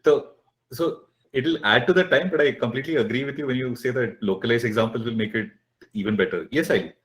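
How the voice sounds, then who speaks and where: A man's voice: mid-range voice, fast talker, a bit bright. A man hosting a podcast, talking casually, frank and agreeable. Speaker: podcast host, professor. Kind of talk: casual conversation.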